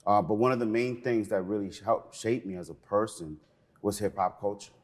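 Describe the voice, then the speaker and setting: A man's voice: lowish voice, steady tone, brisk. A middle-aged man from Peru, raised in New York, speaking as a guest in an interview, earnest and passionate. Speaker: museum cultural program manager, guest.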